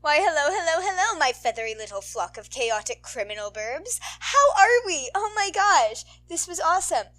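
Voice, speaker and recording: Gushing, high-pitched, bright-voiced woman chatting casually. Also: moderate pace, hardly any pauses. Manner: gushing, excitable, slangy, frequent "like". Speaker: podfic reader and host. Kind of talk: casual, rambling chat to listeners.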